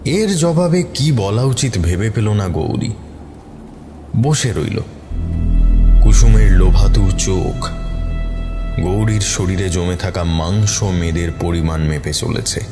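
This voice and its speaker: A man's voice: low-pitched, takes it slowly, long pauses, music in the background. A man reading a scripted horror story as its narrator.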